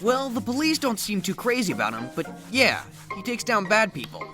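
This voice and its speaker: A clever young turtle whose voice swings widely in pitch. He is wry and quick-witted, with music behind. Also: wildly swooping pitch. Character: nerdy, smart young male ninja turtle.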